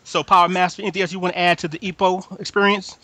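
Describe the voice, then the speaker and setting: A man's voice: high voice, level tone, quick pace, bright, forceful tone, dry recording. A man hosting a casual anime fan discussion, speaking in slang and repeating words and phrases for emphasis. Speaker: podcast host moderating a group discussion.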